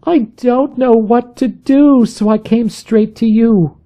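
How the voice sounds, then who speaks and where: A timid young woman in distress, as a male reader puts her on, pleading in a raised, breaking voice. The storybook scene plays in a slow, tearful way. The recording sounds a little dull. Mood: distraught. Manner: high, sobbing, pleading.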